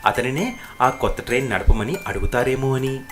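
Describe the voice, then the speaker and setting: నీతి కథను రాసిన పాఠంలా వివరిస్తున్న మహిళా వ్యాఖ్యాత. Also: female voice, extremely low pitch, normal speed, over music. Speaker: narrator. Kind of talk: scripted moral story narration.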